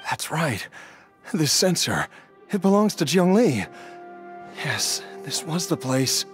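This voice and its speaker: An actor playing an ailing, troubled young man in a video game who struggles with lost memories, talking in a halting, pained way. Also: fairly high pitch, very animated, music in the background. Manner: halting, pained, searching for words.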